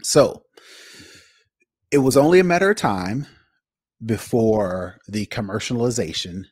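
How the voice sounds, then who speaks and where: A man co-hosting a podcast, giving his opinions casually in an emphatic, halting way. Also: on the low side, no echo, clean studio sound. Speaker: podcast co-host.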